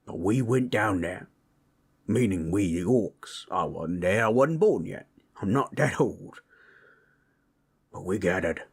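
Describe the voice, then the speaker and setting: A man voicing a gentle, proud orc warrior in an intimate audio roleplay, telling tales in a folksy, rough-hewn, husky way. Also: low-pitched, animated, slow, long pauses, exaggerated voice. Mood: reminiscing. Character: gentle, proud male orc warrior storyteller, affectionate, teasing. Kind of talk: intimate comfort roleplay storytelling.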